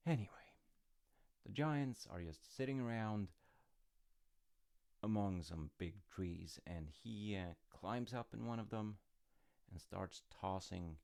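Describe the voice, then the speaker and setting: Slow, gravelly, deep-voiced male storyteller. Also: expressive, darkish tone. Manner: informal, wry asides. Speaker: storyteller dad character in audio roleplay. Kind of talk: casual rambling fairy-tale retelling.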